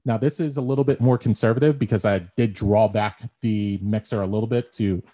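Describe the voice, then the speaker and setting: A man with a deep baritone voice giving an instructional talk, explaining things step by step and repeating phrases as he thinks. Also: steady tone, brisk, dark tone. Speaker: presenter. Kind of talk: instructional talk on audio gear. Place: small bare room at home. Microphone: desktop condenser mic, then earbud headset. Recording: dull lo-fi earbud audio with echo.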